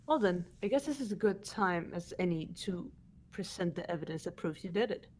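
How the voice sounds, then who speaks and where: Woman acting a composed, low-voiced young sleuth. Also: animated, fairly quick, a little mellow, slight background noise, natural voice. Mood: cool, confident. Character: composed, probing young woman at a class trial. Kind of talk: dubbed game dialogue.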